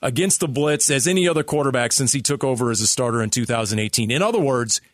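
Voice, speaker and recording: A man's voice: mid-range voice, lively intonation, fast talker, dry recording, studio quality. A man co-hosting a sports talk radio show, analysing in an emphatic way with rhetorical questions.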